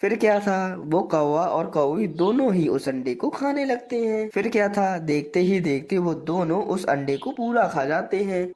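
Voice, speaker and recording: A woman's voice: lowish voice, expressive, a little slow, hardly any pauses, a little mellow. A woman storyteller giving scripted narration for a children's cartoon tale.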